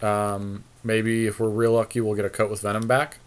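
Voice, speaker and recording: A man's voice: lowish voice, level tone, moderate pace, smooth. A man co-hosting a podcast, giving casual card game draft commentary and thinking aloud in an easygoing, tentative way. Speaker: podcast co-host.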